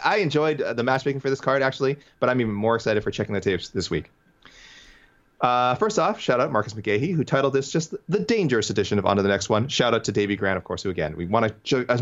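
A man's voice: mid-range voice, animated, fast talker, lo-fi sound. A man co-hosting a podcast in casual chat, chatty and playful, reading out listener messages. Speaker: podcast co-host.

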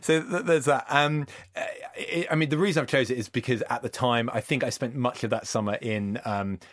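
A man's voice: mid-range voice, a little fast, fairly bright tone, no room sound. A man, a podcast guest, talking in a dry, sardonic, scathing way in casual chat.

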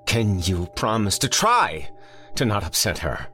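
A man voicing a fussy, excitable male scientist doctor who stammers when cornered. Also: fairly low pitch, measured pace, faint music behind, pitched above the performer's own voice, full character voice.

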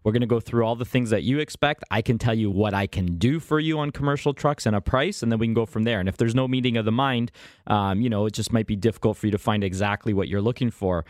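A man's voice: on the low side, fast, no room sound, studio quality. A man appearing as a guest on a radio talk show, talking up his car brokering with confident, emphatic sales talk. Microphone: studio microphone.

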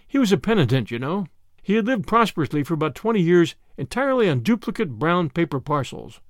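A man's voice: fairly high pitch, very animated, a little fast, no echo, clean studio sound. A man voicing a small, mild, shrewd country priest in a storybook, speaking simply with a timid, apologetic manner. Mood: mild, simple.

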